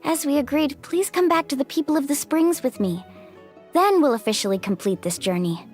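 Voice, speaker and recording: A woman's voice: high voice, expressive, fairly quick, darkish tone. A woman voicing a spirited, friendly young female guide in a video game, upbeat and sincere. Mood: encouraging. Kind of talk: scripted game dialogue. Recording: background music under the dialogue.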